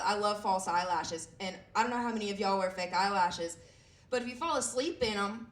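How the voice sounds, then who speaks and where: A woman in her twenties with a raspy voice, performing a self-deprecating stand-up set with lots of fillers and halting restarts. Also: medium pitch. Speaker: stand-up comedian. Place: comedy club stage. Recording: echoey room heard from a distance.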